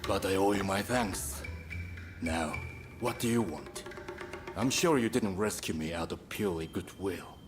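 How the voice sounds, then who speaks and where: A gruff but principled doctor in a video game. He speaks slowly and bluntly in a low voice, with music clearly behind him. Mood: gruff, weary. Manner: measured, blunt. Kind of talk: video game cutscene acting.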